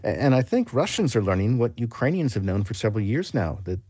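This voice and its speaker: A man's voice: mid-range voice, big pitch swings, fast talker, mellow, husky, dry recording. A man with an American accent giving an explanatory radio news report as a foreign correspondent, in a conversational way.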